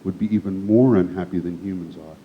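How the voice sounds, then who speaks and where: A man's voice: brisk, natural voice. A man reading as an aloof, dismissive god. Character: proud aloof male god, cool and dismissive. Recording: muffled with faint rumble.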